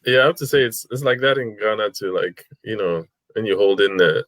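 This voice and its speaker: A man joining an interview chat as co-host, relaxed, laughing mid-sentence and saying "you know" a lot. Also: fairly low pitch, fairly quick, dry recording.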